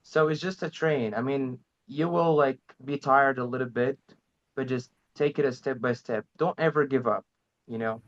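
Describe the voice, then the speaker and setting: A man whose first language is Arabic, sharing language-learning tips in a halting, hesitant way. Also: medium pitch. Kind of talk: casual interview chat. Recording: slightly dull lo-fi audio.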